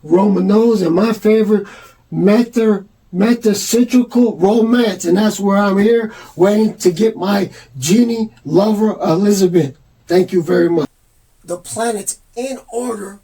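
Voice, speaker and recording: Husky high-voiced man rambling earnestly. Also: a little slow, dark tone. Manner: meandering, reads dictionary definitions aloud, casual and earnest. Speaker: self-published filmmaker presenting his own theory. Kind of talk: rambling monologue to camera.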